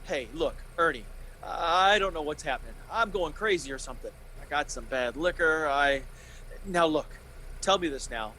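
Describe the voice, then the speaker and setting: A man's voice: on the high side, animated, normal speed, sharp tone, slight background noise, low-quality recording. An actor playing a desperate, agitated family man in a pleading, old-time radio drama style. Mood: frantic, impatient.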